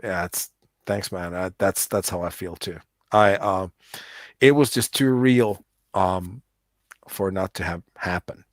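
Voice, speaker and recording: Low-voiced middle-aged man, rambling. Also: steady tone, unhurried, no room sound, studio quality. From United States. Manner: rambling, many restarts and repeated words. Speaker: musician guest. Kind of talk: casual interview chat.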